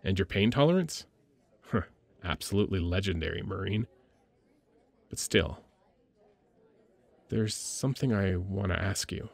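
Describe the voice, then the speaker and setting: A man acts out a military medic lieutenant from a sci-fi audio drama, deep-voiced with a gravelly edge. He talks in a casual, teasing, slightly hesitant way, caring underneath the gruffness. The sound is dry and intimate. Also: animated, measured pace, pauses often, no echo, exaggerated voice. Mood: admiring. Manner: informal, hesitant, teasing, conversational. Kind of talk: intimate ASMR audio roleplay acting.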